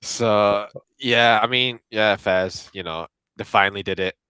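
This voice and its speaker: A man's voice: on the low side, unhurried, sharp tone, clean tone, no room sound. A man from England, co-hosting a football podcast, blunt and opinionated, laughing often. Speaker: podcast co-host. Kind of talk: casual podcast chat.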